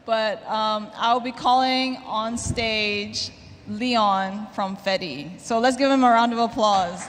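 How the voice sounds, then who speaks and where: A woman's voice: on the high side, slow. A woman hosting a conference, making casual announcements from the stage to call up panelists. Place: large conference hall on stage. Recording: echoey hall, noticeable background noise.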